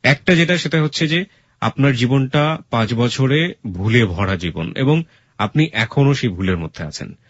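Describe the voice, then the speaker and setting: A man's voice: medium pitch, animated, normal speed, dull audio. A man with a Bangladeshi accent hosting a radio interview, giving blunt, emphatic advice and mixing in English phrases. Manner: mixes English words and phrases into speech. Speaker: radio host.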